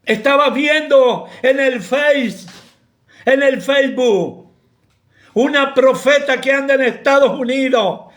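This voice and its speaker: A pastor with an Argentine accent preaching a sermon in an impassioned, rebuking way that often bursts into shouting. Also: male voice, extremely high pitch, slow. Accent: Argentine Spanish.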